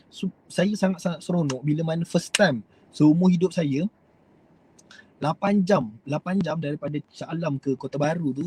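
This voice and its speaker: A man's voice: a little high, warm tone, breathy edge, rough recording. A young Malaysian man with a Malaysian Malay accent, giving a rambling, hesitant motivational talk to his viewers. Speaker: host.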